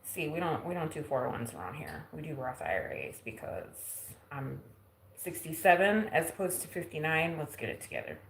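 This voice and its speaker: A woman's voice: deep voice, very animated, normal speed, creaky, roomy sound, a little hiss or hum, rough recording. A woman in her forties chatting casually on a live stream at home, teasing herself and laughing often. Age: 40s. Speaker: vlogger. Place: home kitchen.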